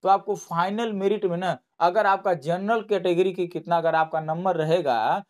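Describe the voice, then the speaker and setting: A man's voice: sits high, fairly even intonation, normal speed. A man giving an informal explainer to students, repeating himself and reassuring them as he goes. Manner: repetitive, reassuring. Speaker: online exam-update presenter.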